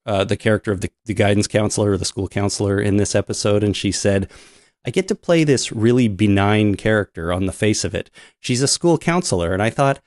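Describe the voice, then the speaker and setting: Podcast co-host, male, from Canada, low-pitched, relaxed and hesitant, dry studio sound. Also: dry recording. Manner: relaxed, hesitant with many fillers. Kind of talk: casual chat, reading news items.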